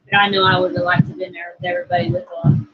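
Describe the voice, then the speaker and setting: A woman's voice: lowish voice, little pitch movement, very fast, dull audio, slight background noise. A woman from Oklahoma co-hosting a casual livestream, rambling and reading viewer comments aloud. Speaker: livestream co-host.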